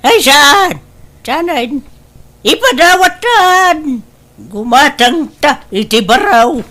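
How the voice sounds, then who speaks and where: A woman's voice: high-pitched, animated, takes it slowly, pushed and bright, heavily acted. A woman playing a proud, stubborn older wife in an Ilocano comedy drama, with an Ilocano accent and a whiny, insistent delivery. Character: proud, stubborn older wife boasting of her big new house. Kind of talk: Ilocano comedy radio-style drama acting.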